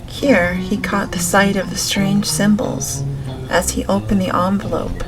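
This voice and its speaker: Gentle, slow, even-toned woman narrator. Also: mid-range voice, rough recording. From Canada. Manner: gentle, measured. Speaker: audiobook narrator. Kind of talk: gentle scripted audiobook narration of a classic gothic novel. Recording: music behind the voice. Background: music.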